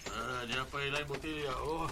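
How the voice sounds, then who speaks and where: A man's voice: medium pitch, a little fast, vocal fry, lightly acted. A man playing a pushy middle-aged uncle in a Bisaya radio drama, with a Cebuano Filipino accent. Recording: noisy radio drama recording.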